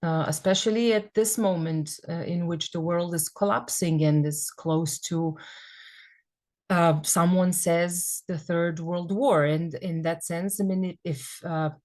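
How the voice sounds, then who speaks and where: Female panel guest, native Serbian speaker, slightly low voice, hesitant and reflective. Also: fairly even intonation, measured pace, a little mellow. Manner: thoughtful, hesitant, many fillers. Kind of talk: academic panel discussion.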